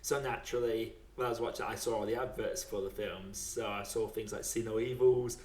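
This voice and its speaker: A man's voice: on the low side, fairly even intonation, brisk, darkish tone. A British man in his twenties chatting casually and jokily about films, often laughing as he talks. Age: 20s. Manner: chatty, jokey, laughs often while talking. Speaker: podcast host. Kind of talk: casual film chat. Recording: echoey room.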